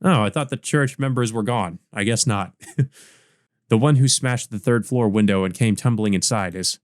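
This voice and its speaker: A man's voice: on the low side, moderate pace, no echo, clean studio sound. A man doing a let's play, reading visual novel narration aloud and adding casual commentary of his own. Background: game music.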